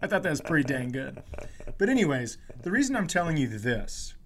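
A man's voice: a little high, big pitch swings, a little fast, fairly bright tone, a little hiss or hum. A man who hosts a podcast as a comedian, telling stories casually with swearing, mock indignation and quoted dialogue.

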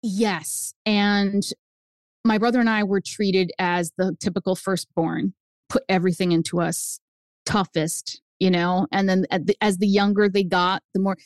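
A woman in her fifties whose first language is Spanish, telling her family story as a guest author, animated and jumping up in pitch for emphasis. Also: measured pace, dry recording. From United States. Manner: animated, swings up high for emphasis. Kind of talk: personal storytelling in an interview.

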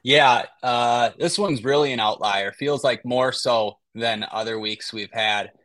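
A man with a bright, forceful tone co-hosts a sports podcast at a middle pitch. He works through his picks out loud, often pausing and restarting. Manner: thinking aloud, hesitant restarts. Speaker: podcast co-host. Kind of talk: casual sports analysis.

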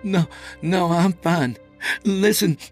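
A man voicing a terrified, pleading man cornered by a monster in a horror audiobook, desperate and halting. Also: sits high, faint music behind, voice pushed up. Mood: frightened, urgent.

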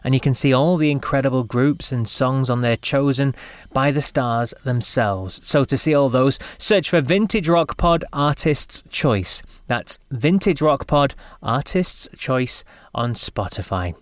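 Upbeat English podcast host, dry close mic. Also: male voice, medium pitch, unhurried, dry recording. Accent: English with a slight Scottish lilt. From England. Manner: chatty, upbeat, laughs easily. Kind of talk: scripted intro then casual interview.

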